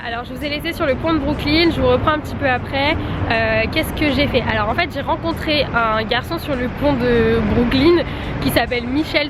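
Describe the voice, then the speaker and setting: A young woman from Paris, talking fast into a handheld camera outdoors, chatty and excited, with a head cold, using lots of slang. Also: high voice, low-quality recording. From Paris, France. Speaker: travel vlogger. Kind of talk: casual vlog talking to camera. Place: outdoors on busy city streets. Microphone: handheld camera mic. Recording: loud traffic and street noise.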